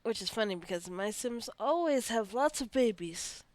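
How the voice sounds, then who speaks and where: A woman giving casual, rambling gameplay commentary as a let's-play host, musing aloud to the game's characters in a creaky voice. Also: on the high side, animated, normal speed, a little mellow, no room sound.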